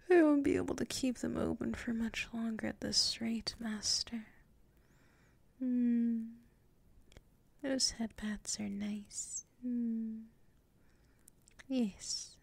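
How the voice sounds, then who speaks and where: Woman acting female tsundere werewolf, submissive but proud, hesitant murmurs and whispers, creaky fry, dry close-up ASMR roleplay. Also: a little high, steady tone, very slow, pauses often, no echo, full character voice. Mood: drowsy, content. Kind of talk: ASMR roleplay, intimate and improvised. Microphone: close mic.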